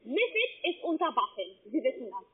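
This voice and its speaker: A high, animated woman's voice with a foreign accent comes through a tinny phone line. She is an insistent, non-native call center agent who cuts in with halting phrasing. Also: husky. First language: not German. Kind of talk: phone sales conversation.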